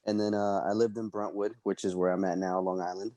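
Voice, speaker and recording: Low, dark-voiced young man, halting. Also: no room sound. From El Salvador. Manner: relaxed, halting, full of ums and uhs. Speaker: MMA fighter guest. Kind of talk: casual interview answers.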